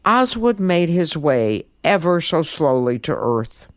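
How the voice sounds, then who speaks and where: Woman storyteller, slow and highly animated. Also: dry recording, clean studio sound. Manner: theatrical, emphatic. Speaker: storyteller, guest podcast host. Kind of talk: expressive children's story narration.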